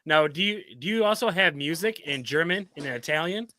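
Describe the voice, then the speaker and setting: A man's voice: fairly high pitch, fairly quick, sharp tone, no echo, studio quality. An American man hosting a casual interview show in an informal, rambling way. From United States. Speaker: talk show host.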